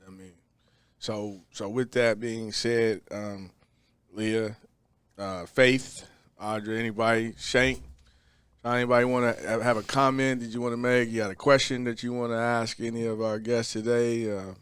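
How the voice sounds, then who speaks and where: A man hosting a podcast, relaxed and quick to laugh as he leads the discussion. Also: medium pitch, smooth, no room sound. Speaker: podcast host. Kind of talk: casual discussion.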